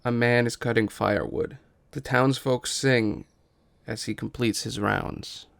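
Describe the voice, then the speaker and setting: A man's voice: mid-range voice, fairly even intonation, a little slow, vocal fry, no echo. A man reading scripted stage directions as the narrator of a musical.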